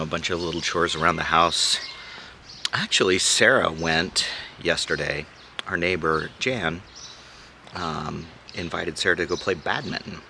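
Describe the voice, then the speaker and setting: Deep gravelly man vlogging outdoors. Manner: rambling, thinking aloud; strident when arguing a point. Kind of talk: casual morning vlog chat. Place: outdoors in a sunny, breezy rural spot. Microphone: handheld camera held close. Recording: outdoor wind and birdsong behind.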